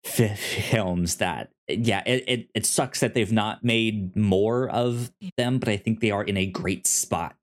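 A man co-hosting a podcast, chatting casually about films in a halting, repetitive, enthusiastic fan's way. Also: lowish voice. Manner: halting, repetitive, enthusiastic self-described nerd. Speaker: podcast co-host. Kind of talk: casual film discussion chat.

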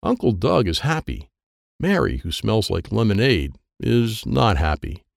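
A man's voice: lowish voice, sing-song, a little slow, gravelly, no room sound, studio quality. A man reading a children's audiobook in short, simple, childlike sentences. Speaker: audiobook narrator.

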